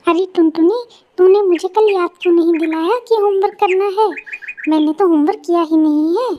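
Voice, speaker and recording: A lazy, snappy older sister bird in a cartoon tale, played by a woman. Her girlish voice is very high and dismissive, over faint noise. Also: a little fast, dull audio. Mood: worried. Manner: irritable, dismissive.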